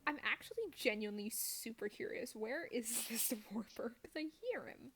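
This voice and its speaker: Playful woman streamer with a creaky voice. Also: a little high, animated, moderate pace, a bit bright, a little hiss or hum. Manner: playful, self-deprecating, silly exclamations. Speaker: streamer playing a game. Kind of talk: casual gameplay chat.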